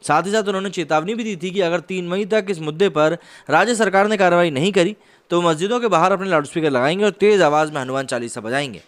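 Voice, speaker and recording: A man's voice: sits high, fast. A man presenting online news commentary in an explanatory way, mixing read reports with conversational asides.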